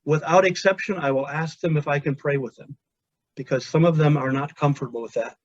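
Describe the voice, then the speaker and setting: A male chaplain whose first language is Pennsylvania Dutch, giving a measured, earnest webinar talk with pauses and restarts. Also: fairly high pitch, dry recording. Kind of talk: webinar presentation. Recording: dull, dry lo-fi audio.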